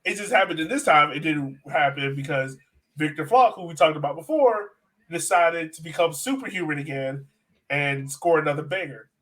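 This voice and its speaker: A man with a fairly high voice talks soccer as a podcast co-host. His delivery is conversational and informal, and he leans on his points with some emphasis. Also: moderate pace, pushed and bright, rough recording. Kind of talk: casual soccer analysis chat.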